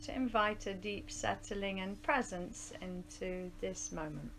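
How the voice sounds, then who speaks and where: A woman leading a live guided yoga relaxation in a soothing, unhurried manner, often softening to a breathy near-whisper as phrases end. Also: mid-range voice. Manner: soothing, unhurried, with long pauses. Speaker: yoga nidra teacher. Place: rural yoga studio, live group session. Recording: faint background noise with outdoor ambience. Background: birdsong and ambience from outside.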